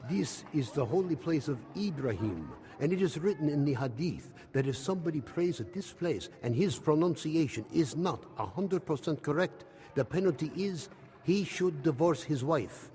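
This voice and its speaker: An actor playing a stern imam at a holy site in a radio drama, handing down judgment. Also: male voice, a little high, lively intonation, moderate pace, dark tone, natural voice. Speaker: imam character.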